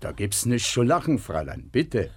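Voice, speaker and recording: An actor playing an officious, matter-of-fact village police sergeant in a German radio play, speaking with formal authority. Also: male voice, mid-range voice, huge pitch swings, moderate pace, a little mellow, slightly rough, full character voice. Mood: stern.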